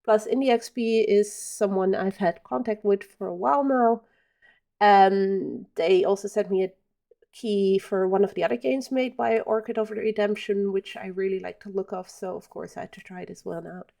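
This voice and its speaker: Chatty Dutch woman streamer, gravelly. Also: mid-range voice, normal speed, warm tone. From Netherlands. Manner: chatty, answers chat, many ums. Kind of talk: casual live-stream chat.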